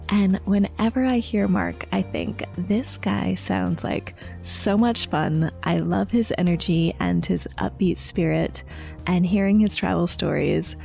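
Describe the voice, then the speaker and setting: An American woman hosting a podcast, introducing and interviewing a guest in a friendly, measured way. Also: on the low side, creaky, dark tone. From United States. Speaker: podcast host.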